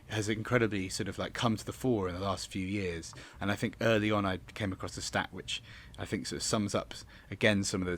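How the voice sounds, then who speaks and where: A man's voice: sits low, a bit bright. A man giving a rambling, hedging interview answer as a theatre director. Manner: rambling, hedging with many 'sort of's.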